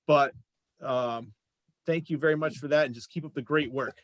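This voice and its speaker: A man's voice: medium pitch, fairly even intonation, moderate pace, no echo, clean studio sound. A man hosting a show, chatting casually as he interviews his guests. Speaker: show host.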